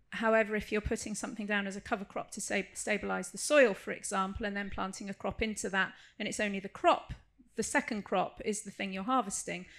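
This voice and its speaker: A woman with a mid-pitched, noticeably creaky voice explains a point as a panel expert. Her delivery is measured and conversational, adding qualifications as she goes. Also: a bit bright. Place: live agricultural conference panel session.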